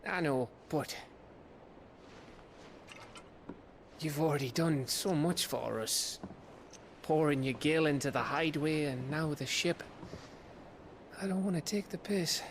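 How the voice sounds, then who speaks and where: Man as sweary British game sidekick: higher-set male voice with heavy gravel, blunt and loyal, low rumble behind. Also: normal speed, natural voice. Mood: sheepish, grateful. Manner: blunt, colloquial. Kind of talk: video game cutscene acting.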